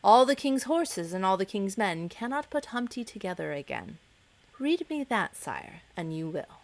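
A woman voicing a humble, dreamy young male suitor in a storybook reading, speaking softly and earnestly. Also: medium pitch, very animated, moderate pace.

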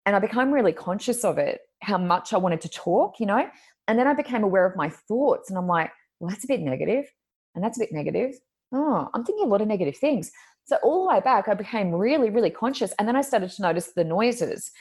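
A woman with a loud voice, a podcast co-host telling a personal story in casual conversation and acting out her own thoughts. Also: medium pitch, big pitch swings, quick pace, breathy edge.

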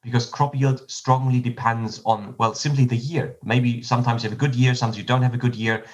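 A man from Germany giving measured, explanatory answers in a podcast interview, often restarting his phrases. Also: mid-range voice, fairly even intonation, highs cut off.

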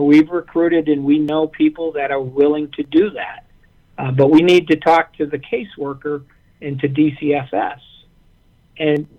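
A man from Massachusetts calls into a radio interview and tells his story in an earnest, halting way, stopping and restarting his sentences. His voice sits a little high for a man and comes through a thin, phone-like line. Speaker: guest, nonprofit representative.

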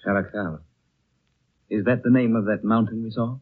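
Gentle, dreamy, low-voiced traveler. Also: male voice, steady tone, moderate pace, breathy edge. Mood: wondering. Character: gentle, thoughtful, courteous traveler, a dreamy man. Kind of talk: 1930s radio drama acting. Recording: old muffled radio recording, music behind.

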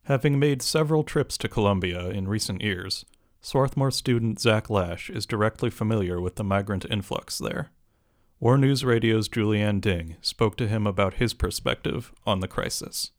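A man reading a scripted radio news report in a measured, even way. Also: on the low side.

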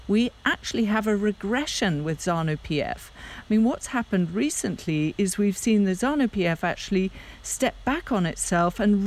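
A middle-aged woman from Zambia co-hosting a podcast, giving emphatic, argumentative political commentary. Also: fairly low pitch. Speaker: podcast co-host, Africa risk analyst.

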